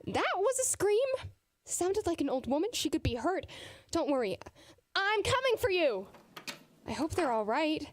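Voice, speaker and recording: A woman's voice: extremely high pitch, big pitch swings, normal speed, pauses often, faint music behind. A young woman playing a chatty, upbeat doctor new in town in an audio drama, with a jokey, nervous rambling manner. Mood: worried.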